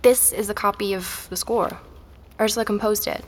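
An actress playing a curious, quietly persistent young woman visitor, speaking tentatively in naturalistic audio drama dialogue. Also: mid-range voice, steady tone, normal speed, a little hiss or hum, close to an everyday voice. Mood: tentative, insistent. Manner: tentative, inquisitive. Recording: long hall-like reverb.

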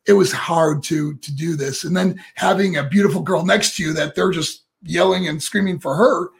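A middle-aged man with a raspy voice, a guest and former wrestler rambling through stories with lots of fillers. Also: fairly high pitch, moderate pace. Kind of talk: casual interview storytelling.

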